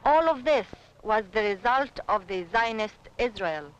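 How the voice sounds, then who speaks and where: A woman's voice: a little high, animated, measured pace, dull audio, noisy background. A woman narrating scripted first-person refugee testimony on a 1970s documentary soundtrack.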